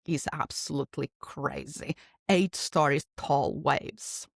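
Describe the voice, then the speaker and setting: A woman's voice: low-pitched, fairly even intonation, takes it slowly, creaky, no echo, clean studio sound. A middle-aged woman from Portugal with a Portuguese accent, answering interview questions as a keynote speaker in a reflective, explanatory way. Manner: earnest, reflective, speaks in long explanatory runs with restarts.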